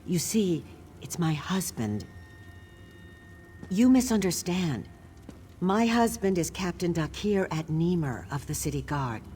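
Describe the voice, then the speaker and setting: An actress playing a guard captain's worried, discreet wife in a fantasy video game, speaking in an earnest, guarded way. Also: female voice, fairly low pitch, sing-song, measured pace, long pauses, warm tone, slight background noise. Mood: troubled. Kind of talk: scripted game character dialogue.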